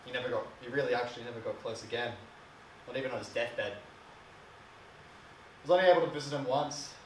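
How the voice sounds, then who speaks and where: A man playing a bitter, wounded son of an alcoholic father in a dramatic monologue, speaking in a brooding, emotional, halting way. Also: medium pitch, close to an everyday voice. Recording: distant mic, echoey room, faint hiss.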